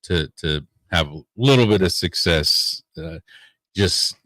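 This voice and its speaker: Deep-voiced, easygoing comedian man. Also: expressive, takes it slowly, a bit bright, no echo. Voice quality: deep bass voice. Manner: easygoing, rambling storyteller. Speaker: comedian guest. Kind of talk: casual radio interview. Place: radio studio.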